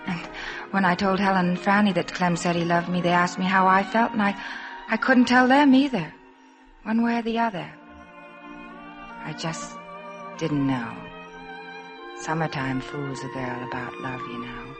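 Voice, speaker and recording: A shy young woman, played by an actress, speaks softly and haltingly, as if confiding something tender and uncertain. It is 1950s radio drama, earnest and wistful, with music clearly behind her voice. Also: on the low side, close to an everyday voice. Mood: wistful, hesitant. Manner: soft-spoken, halting, wistful. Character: shy, hesitant, earnest young working girl in love. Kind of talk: 1950s radio drama acting, intimate first-person narration.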